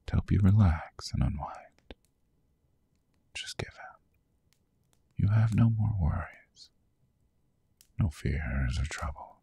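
Guy doing a vampire boyfriend asmr, coaxing and hypnotic, really breathy gravelly whisper. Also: sits low, expressive, no echo, heavily acted. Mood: soothing, intimate.

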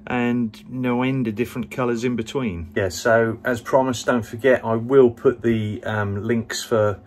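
A man's voice: mid-range voice, steady tone, unhurried. A man in his fifties giving a rambling, casual tour of his narrowboat with plenty of ums, filmed on a handheld action camera in a small cabin. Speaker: vlogger.

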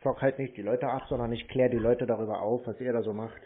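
A man's voice: medium pitch, fairly even intonation, fast, slight background noise. A man chatting casually on a phone call, teasing in a dry, laid-back way. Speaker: scam-baiting YouTuber on a phone call. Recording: muffled narrow-band phone call audio.

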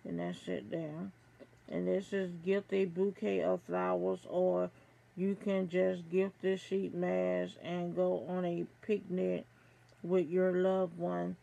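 A middle-aged woman reviewing beauty products, reading aloud haltingly from a card and stumbling over names. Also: lowish voice, level tone, vocal fry, mellow, dull audio. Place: small room at home.

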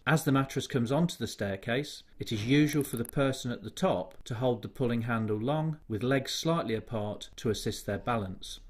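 A man reading a scripted voice-over for a healthcare evacuation training video, measured and formal. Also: mid-range voice, slightly rough. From United Kingdom.

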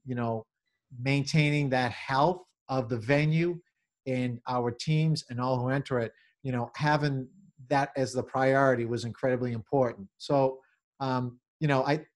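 A middle-aged man answering interview questions on a video call as a venue manager, in halting corporate phrasing with repeated words. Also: mid-range voice. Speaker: convention center general manager, interview guest. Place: small office, indoors. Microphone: computer or webcam on video call.

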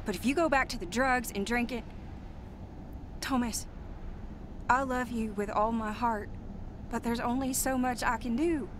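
A woman's voice: fairly high pitch, steady tone, a little slow, long pauses, noisy background, natural voice. An actress playing a loving, worried mother with a Southern American accent, talking to her grown son in a radio drama. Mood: stern pleading. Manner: pleading, emotional.

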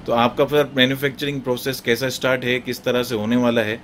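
A man's voice: mid-range voice, level tone, fast, a bit bright, slight background noise. A man hosting a business interview for his channel, speaking politely and turning often to the viewers with "right, right". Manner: polite, repeats "right, right", addresses viewers directly.

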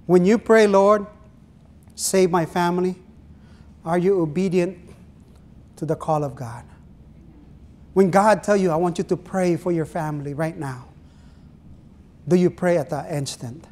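A man's voice: high-pitched, a little mellow. Filipino pastor guy, middle-aged, earnest sermon, drags out phrases and quizzes the pews, small church, lapel mic. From Philippines. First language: Ilonggo. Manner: earnest preaching, drawn-out phrases, asks the congregation questions. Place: small church stage with pulpit.

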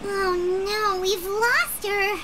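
A woman voicing an excitable, naive little fairy girl with a squeaky, childlike voice in a video game. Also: drawn-out words, very noisy, exaggerated voice. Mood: indignant. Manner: exclamatory, refers to herself in third person.

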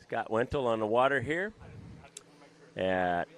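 A man announcing skier passes and official scores in a measured, matter-of-fact way. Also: medium pitch, animated. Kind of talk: live sports announcing.

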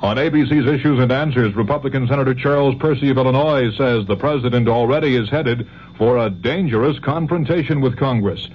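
An American man anchoring scripted network radio news. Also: mid-range voice. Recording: dull, hissy 1970s radio recording.

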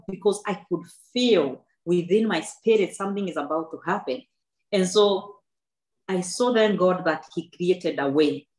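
A woman's voice: lowish voice, echoey room, rough recording. A woman in her thirties, an author telling her personal story in an earnest, faith-filled and reflective way, often restarting her sentences. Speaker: author and guest. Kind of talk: personal testimony in a book club talk. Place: indoor room with a backdrop.

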